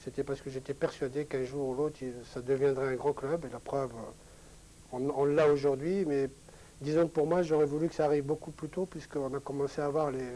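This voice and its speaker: A man's voice: medium pitch, fairly even intonation, a little fast, mellow, poor audio. An older man, a former footballer, recalling his career in an interview, hesitant with many fillers. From France. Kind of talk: reminiscing interview.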